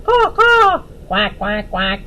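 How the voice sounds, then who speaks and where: A woman's voice: medium pitch, very animated, slow, sharp tone, a little hiss or hum, heavily acted. A woman voicing a sweet, earnest, brave young female character from a children's storybook. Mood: playful imitation.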